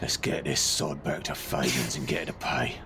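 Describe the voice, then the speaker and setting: A man playing a brutal, short-tempered London criminal in an audio drama, with a gravelly voice and a gruff, threatening manner. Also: a bass voice, fairly even intonation, moderate pace, over loud music. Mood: gruff, domineering.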